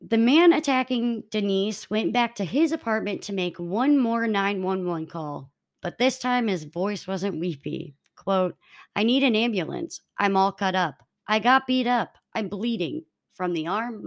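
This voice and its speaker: Young woman podcast co-host, mid-pitch, narrating a true-crime case from notes, a few restarts. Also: animated, unhurried.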